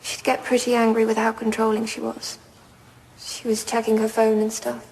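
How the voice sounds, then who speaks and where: Hesitant teenage girl, flat-toned, echoing. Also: a little high, creaky. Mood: uneasy. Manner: halting, reluctant. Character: hesitant teenage schoolgirl witness on the stand. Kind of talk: naturalistic courtroom drama acting.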